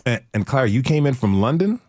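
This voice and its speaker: A man's voice: mid-range voice, sing-song, fast, a little mellow, no echo, clean studio sound. A man hosting a radio show, reading introductions and interviewing guests. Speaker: radio host.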